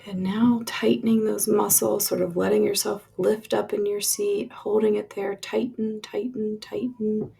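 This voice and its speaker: Slow, very creaky woman guiding meditation. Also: medium pitch, level tone, a little hiss or hum. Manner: soft, lingering phrasing, trailing into breathy releases. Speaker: meditation guide. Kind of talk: guided relaxation meditation, slow spoken instructions.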